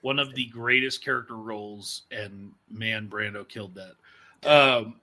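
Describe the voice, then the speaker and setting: A man's voice: lowish voice, steady tone, a little slow, bright, forceful tone. A man hosting a casual interview chat as a voice actor and producer.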